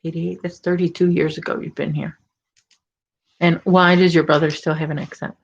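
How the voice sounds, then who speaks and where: A woman's voice: low voice, flat delivery, normal speed, creaky, highs cut off. A woman with a Chicago accent hosting a casual crochet livestream from home, chatting and teasing while she works. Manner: chatty, reads viewer comments, teasing.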